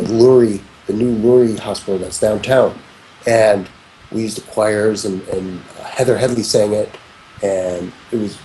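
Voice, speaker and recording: A man's voice: lowish voice, mellow, slight background noise, low-quality recording. A man in his fifties, a music producer talking as an interview guest, rambling and reflective, often restarting his sentences. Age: 50s. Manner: rambling, frequent restarts and "you know"s, reflective. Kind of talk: casual conversational interview.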